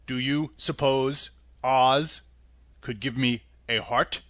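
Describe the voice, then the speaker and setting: A man reading a storybook aloud, voicing a sad, polite man made of tin who speaks slowly and mournfully. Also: steady tone, a bit bright, dry recording. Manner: slow, low and mournful.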